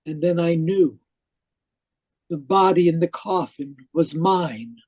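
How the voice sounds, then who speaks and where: Husky, theatrical scheming uncle, played by a man. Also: on the high side, slow, darkish tone. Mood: grave, haunted narration. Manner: theatrical, emphatic. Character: obsessive, domineering uncle, defensive and scheming. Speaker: radio drama actor. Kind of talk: 1940s radio mystery acting, narrating and dialogue. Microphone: video call. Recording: muffled-edged online video call.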